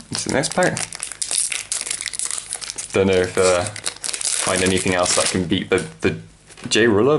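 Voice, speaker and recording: A man's voice: on the low side, slight background noise. A man presenting a casual unboxing, talking off the cuff in a hesitant, rambling way as he thinks aloud. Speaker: trading card unboxing presenter. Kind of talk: casual unscripted product unboxing commentary.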